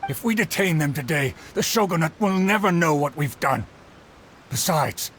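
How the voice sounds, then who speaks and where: An actor playing a bereaved, weary older radish farmer in a video game, arguing and pleading. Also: male voice, fairly high pitch, fairly bright tone, a little hiss or hum. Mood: pleading, resigned. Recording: music behind. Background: clear music.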